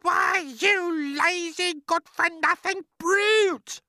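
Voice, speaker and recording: A storybook narrator voicing a cruel, vain old aunt with a shrill, screechy voice and a snapping, theatrical delivery. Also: very animated, takes it slowly, bright, forceful tone, no room sound, clean studio sound, exaggerated voice. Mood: furious.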